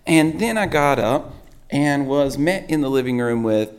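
A man, a pastor preaching with a sore, hoarse throat, telling rambling self-mocking stories. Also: mid-range voice, expressive, measured pace. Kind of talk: sermon.

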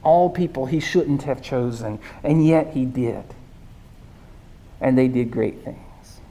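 A pastor with a squeaky voice preaching in a conversational way, often checking in with the listeners. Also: takes it slowly, warm tone. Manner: conversational, keeps asking "right?". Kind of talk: sermon.

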